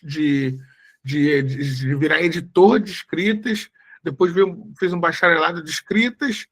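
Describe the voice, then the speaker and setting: Man in his forties from Brazil, slightly high voice, bright tense tone, chatty with many fillers, video call audio. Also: slightly rough. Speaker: researcher and guest speaker. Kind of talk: casual live talk before a slide presentation.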